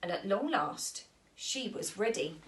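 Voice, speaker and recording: A woman's voice: mid-range voice, lively intonation, unhurried, a little hiss or hum, rough recording. A woman storyteller reading a children's story aloud expressively.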